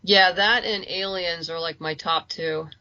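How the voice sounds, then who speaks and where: A woman's voice: fairly low pitch, fairly even intonation, a little slow, bright, forceful tone, dry recording, muffled recording. A woman chatting casually and informally as a guest on a podcast. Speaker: interview guest, comic and audio drama creator.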